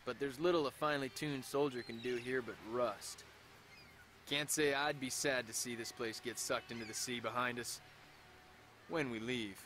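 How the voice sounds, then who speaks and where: An actor voicing a weary, cynical army private, narrating a game intro in a dry, sardonic tone. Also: male voice, medium pitch, steady tone, normal speed, a bit bright, a little hiss or hum, lightly acted.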